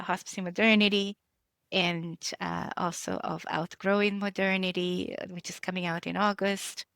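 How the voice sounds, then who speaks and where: A woman's voice: on the low side, creaky, a bit bright, dry recording. A middle-aged woman, an author and educator, talking casually with many fillers and restarts. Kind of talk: casual conversation.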